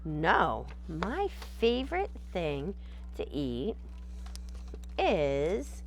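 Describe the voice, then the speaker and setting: A woman's voice: fairly low pitch, huge pitch swings, extremely slow, slight background noise, exaggerated voice. Woman voicing a theatrical, hungry chupacabra monster. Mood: picky, teasing. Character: hungry chupacabra, male, picky, politely menacing monster.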